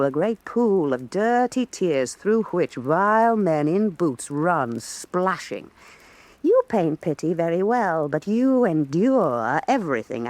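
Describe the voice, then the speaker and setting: An actress playing a proud, fierce, middle-aged woman painter and mother, sharp and scornful in a stage radio drama. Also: fairly low pitch, dry recording. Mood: cutting.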